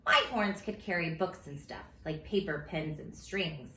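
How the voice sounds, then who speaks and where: A woman reading a children's storybook aloud in a silly, theatrical, expressive way, like a teacher at story time. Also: low voice, a little slow. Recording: roomy echo with faint background noise.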